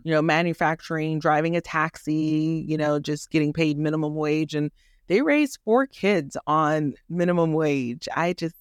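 A woman podcast guest tells personal stories conversationally, earnest and emphatic. Her voice is very clear and smooth, on a close, dry recording. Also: low voice, normal speed, no echo. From born in Haiti, raised in Miami. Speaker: podcast guest, doctor and rental operator.

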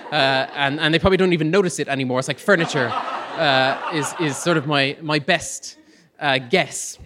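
Higher-voiced man telling a humorous personal story. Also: moderate pace, noisy background. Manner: hesitant, self-deprecating humour, chuckles as he talks. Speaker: storyteller on stage. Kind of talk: live personal storytelling to an audience.

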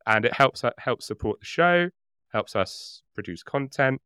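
Man, clear-voiced, rambling podcast co-host. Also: mid-range voice, expressive, normal speed, pushed and bright, no room sound. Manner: hesitant, rambling, jokey. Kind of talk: casual chat.

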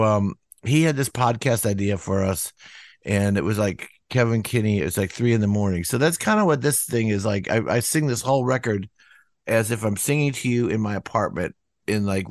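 A man musician talking casually in an interview, rambling with lots of "you know" fillers and acting out quotes in a higher voice. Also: no room sound, clean studio sound. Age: older. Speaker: musician guest.

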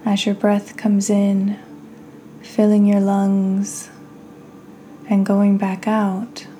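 A woman hosting a guided meditation, speaking soothingly in short phrases with long pauses and breathy endings. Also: medium pitch, completely flat delivery, mellow, a little hiss or hum. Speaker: podcast host and meditation guide.

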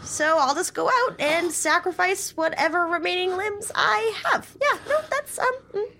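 A woman playing a self-sacrificing, passive-aggressive scout in an improvised sci-fi comedy, halting and nervously over-polite. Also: very high-pitched, bright, forceful tone, slight background noise, heavily acted. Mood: wounded martyrdom. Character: put-upon female scout, self-sacrificing, passive-aggressive, on a space crew.

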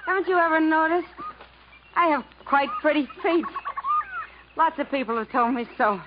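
A high-voiced young woman, stubbornly hopeful and earnest while stranded in the jungle. Her delivery is emotive 1950s radio drama acting. The sound is dull and noisy, like an old broadcast. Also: expressive, normal speed. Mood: hopeful, determined.

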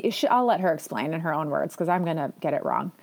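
A woman's voice: deep voice, expressive, fast, darkish tone. A woman hosting her own podcast, talking in a chatty, enthusiastic way with self-deprecating asides. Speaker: podcast host. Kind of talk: casual solo podcast talk.

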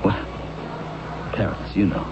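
An actor playing a defensive, earnest young jazz musician with hesitant, slangy speech in a 1970s radio drama. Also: male voice, slow, close to an everyday voice. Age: young adult. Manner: hesitant, stammering, hip slang. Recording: muffled, noisy old radio broadcast recording.

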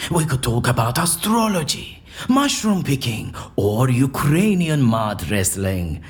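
An actor playing an eccentric, erudite man in an audio drama, speaking in a florid, pompous and animated way. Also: a little high. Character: eccentric, theatrical, erudite man, convinced his interrogation is staged.

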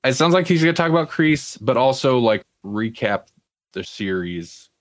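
A man's voice: mid-range voice, big pitch swings, normal speed, dry recording. A man hosting a podcast, casually chatting through entertainment news and sometimes reading aloud, with wry, self-deprecating humor. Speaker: podcast host.

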